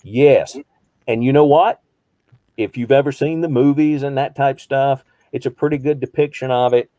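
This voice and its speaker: A man's voice: medium pitch, moderate pace, warm tone, no room sound. A man in his fifties, a behavioral health counselor, teaching a small group conversationally, posing rhetorical questions and repeating phrases for emphasis. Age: 50s.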